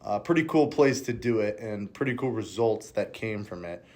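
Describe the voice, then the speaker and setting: A young man co-hosts a campus sports podcast and asks his questions in a loose, rambling way. His voice sits on the low side and keeps a casual tone. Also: animated, moderate pace, a little mellow. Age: young adult. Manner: loose, filler-heavy questions with lots of "kinda" and "uh". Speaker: co-host, college pitcher.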